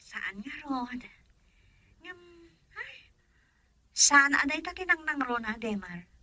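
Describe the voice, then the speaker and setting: A woman's voice: sits high, sing-song, slow pace, sharp tone, exaggerated voice. A woman playing a young, defiant daughter in love, with emotional, pleading radio drama delivery and an Ilocano accent. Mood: tender, troubled.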